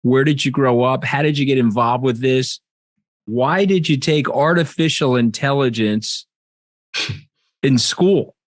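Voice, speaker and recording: Man, interviewer, eager, stumbles over words, mid-pitch voice, video call. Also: a little slow. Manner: enthusiastic, stumbling restarts. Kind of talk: interview questions.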